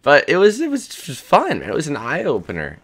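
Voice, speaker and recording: A hoarse young man in his twenties rambling crudely and jokily as the host of a gaming channel. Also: on the high side, huge pitch swings, normal speed, a bit bright. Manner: rambling, crude, jokey, lots of "dude" and "man". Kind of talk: casual rambling commentary over gameplay.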